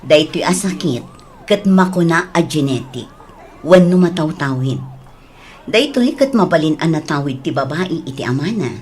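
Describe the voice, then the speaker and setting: A woman playing a calm doctor in an Ilocano radio drama, explaining in a measured way with an Ilocano accent. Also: low-pitched. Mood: composed. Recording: noisy, background ambience.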